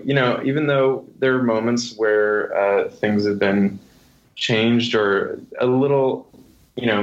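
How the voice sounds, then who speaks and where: A man speaking as a guest author in an interview, answering conversationally in a thoughtful way with hesitant pauses. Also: on the low side. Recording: dull lo-fi sound.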